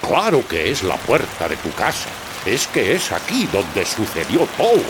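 A man's voice: fairly low pitch, huge pitch swings, unhurried, very noisy. A man playing a grumpy, self-important talking bar of soap who lectures in a children's radio play. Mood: grumpy, lecturing.

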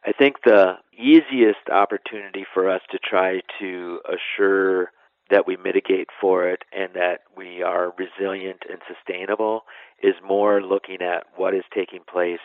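A man's voice: sits low, fairly even intonation, a little slow, creaky, no echo, narrow, tinny audio. A man answering interview questions informally as an expert, explaining things and sometimes letting sentences trail off. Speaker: national park superintendent, interview guest.

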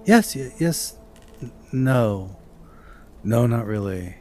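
A man voicing a shaken female fortune teller in a campfire story, halting and grave. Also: mid-range voice, expressive, dark tone, slight background noise.

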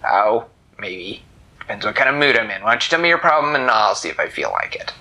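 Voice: mid-range voice, sing-song, brisk, pushed and bright, exaggerated voice, low-quality recording. Storyteller voicing a grumpy ancient cat. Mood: gruff. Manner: curt, grumbling. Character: ancient gruff male cat, blind and grumpy.

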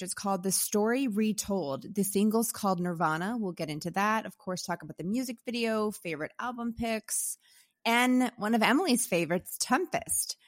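A woman's voice: medium pitch, dry recording, studio quality. A woman co-hosting a casual podcast in an upbeat way, with a slight cold. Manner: upbeat, runs down the episode rundown. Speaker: podcast co-host. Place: New York.

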